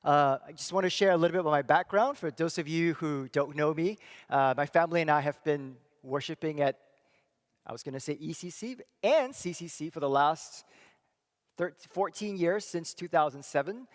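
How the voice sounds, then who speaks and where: A man's voice: a little high, moderate pace. Singaporean man speaking in a reverberant hall. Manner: thoughtful, many fillers and restarts. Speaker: church speaker and psychology professor. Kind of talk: church talk presentation. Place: church hall.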